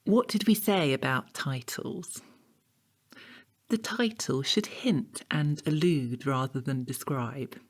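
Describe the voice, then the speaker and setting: An actress playing an exacting, condescending art tutor with a formal, pedantic manner in an audio drama. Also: female voice, a bass voice, slow, full character voice. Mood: exacting, impatient. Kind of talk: naturalistic audio drama dialogue.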